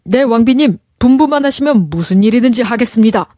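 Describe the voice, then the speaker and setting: A woman voicing a soft-hearted male royal servant in a storybook, speaking formally and earnestly with deference. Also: fairly high pitch, lively intonation, brisk, no echo, studio quality, lightly acted. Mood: obedient.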